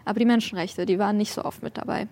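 A woman's voice: mid-range voice, fairly even intonation, racing, a little mellow. A woman from Germany answering as a journalist and activist in an interview, explaining things with frequent hesitant hums between clauses. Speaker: journalist and human rights activist, interview guest.

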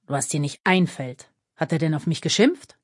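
A woman's voice: deep voice, expressive, normal speed, no echo, clean studio sound. A woman as an aggrieved, sneering grown man. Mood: irritated. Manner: sarcastic, indignant muttering.

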